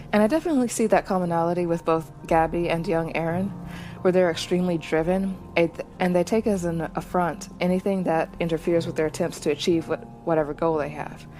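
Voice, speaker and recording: A woman hosting a podcast, giving thoughtful solo commentary in a measured, reflective way with small digressions. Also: lowish voice, darkish tone. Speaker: podcast host reacting to anime.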